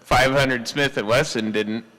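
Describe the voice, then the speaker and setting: A man chatting casually as a radio operator, in a halting, unhurried way that tends to trail off. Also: mid-range voice, fairly even intonation, bright, forceful tone, low-quality recording. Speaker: CB radio operator hosting a live stream.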